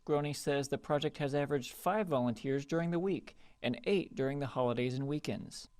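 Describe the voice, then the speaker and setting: A man's voice: mid-range voice, a little mellow, no echo. A man reading scripted news reports as a television reporter.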